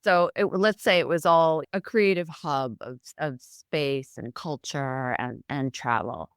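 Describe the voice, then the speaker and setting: A middle-aged American woman from near Boston, a guest telling her story in a chatty, animated way with occasional laughs. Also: sits low, a little slow, clean tone, no room sound, studio quality. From United States, Boston area. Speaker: guest, founder. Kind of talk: casual interview.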